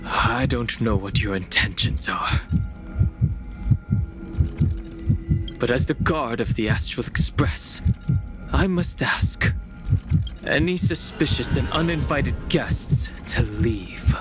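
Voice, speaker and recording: Man acting as young male guard, dutiful, measured, unhurried, video game, loud music behind. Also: mid-range voice, natural voice. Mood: stern.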